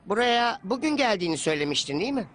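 A man's voice: high voice, big pitch swings, rapid-fire, fairly bright tone, a little hiss or hum. A man voicing an earnest, eager, talkative schoolboy soccer player in a dubbed anime cartoon. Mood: explaining. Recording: faint music under the voice.

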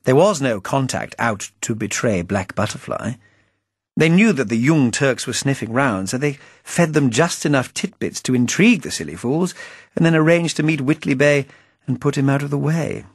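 A man's voice: medium pitch, lively intonation, moderate pace, husky, no room sound, clean studio sound, pitched above the performer's own voice. A man voicing a chipper, suave English spymaster in a storybook, with jaunty upper-class patter and drawled endearments. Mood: affable, confiding. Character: chipper, suave British spymaster, breezy and affable.

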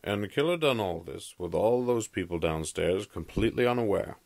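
A man as deep, gravelly skeptical cop. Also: expressive, a little fast, a little mellow. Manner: skeptical, challenging. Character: skeptical, gruff male police detective. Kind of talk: audio drama acting.

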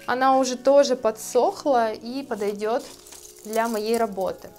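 A woman in her thirties, a florist, calmly explaining her steps in a tutorial, speaking gently to her viewers. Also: a little high, a little slow, faint music behind. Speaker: florist teaching a course. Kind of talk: calm tutorial narration. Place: indoor studio with plants. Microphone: lapel mic.

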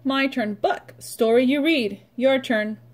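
A woman preschool teacher reading aloud and drilling vocabulary for young children in short, simple instructional phrases with pauses. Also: high-pitched.

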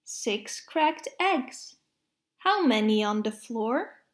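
A woman narrating children's picture books aloud in a deliberate, expressive way suited to young children. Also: a little high. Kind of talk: read-aloud storybook narration.

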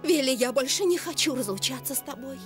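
High-voiced cartoon panther princess. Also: female voice, expressive, fast talker, music in the background. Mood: tender. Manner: refined, emotive. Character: graceful panther princess, devoted wife with spirit. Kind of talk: dubbed cartoon acting.

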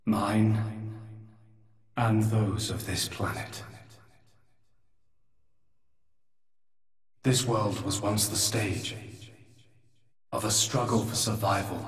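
A godlike creator from a game cutscene, grave and world-weary. The voice is low and very slow, formal and solemn. It rings out in a long, cavernous reverb. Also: male voice. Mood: sombre, reflective. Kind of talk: dramatic video game cutscene acting. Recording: echoey, cavernous reverb, distant.

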